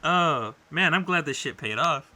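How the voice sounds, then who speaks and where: A man with a very bright, punchy voice and animated intonation. He talks as a podcast co-host in loose comedic banter. His delivery is playful and profane, riffing as he goes. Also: fairly high pitch, measured pace.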